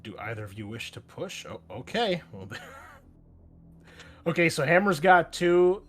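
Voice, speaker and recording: A man's voice: a little high, big pitch swings, unhurried. A man running a tabletop roleplaying game as game master, amused and easygoing, explaining rules with many fillers.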